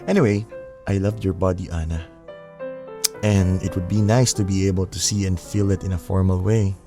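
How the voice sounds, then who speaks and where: A man's voice: low voice, steady tone, normal speed, over music. A man acting an earnest, attentive young boyfriend in a Filipino romance audio drama, casually mixing languages with a Filipino accent. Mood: smitten, confident. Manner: earnest, casual, mixes English and Tagalog.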